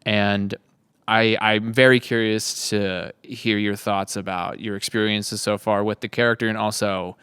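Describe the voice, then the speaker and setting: Male host for a relaxed group chat, clean clear voice, dry close sound. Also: fairly low pitch, measured pace, dry recording. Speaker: production lead hosting a behind-the-scenes chat.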